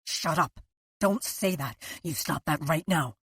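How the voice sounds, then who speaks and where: A woman's voice: low-pitched, a little slow, husky, no room sound, exaggerated voice. A woman doing a stern, controlling husband. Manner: clipped, hushed commands. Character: controlling, tense husband hushing his wife. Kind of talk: audiobook character voice.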